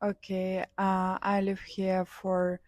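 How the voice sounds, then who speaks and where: Young kazakh woman, slow and unsure, lots of uhs, dry close sound. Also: medium pitch, completely flat delivery, a little mellow, no room sound. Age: young adult. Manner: hesitant, many fillers, simple non-native English. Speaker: interviewee, student. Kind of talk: street interview answers.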